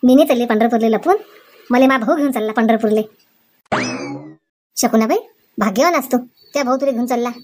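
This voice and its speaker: A woman doing a shrill, rapid Varhadi village mother-in-law. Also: darkish tone, a little hiss or hum, close to an everyday voice. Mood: chatty, insistent. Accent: Varhadi Marathi. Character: bustling village mother-in-law in a comedy cartoon. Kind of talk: exaggerated cartoon comedy dialogue.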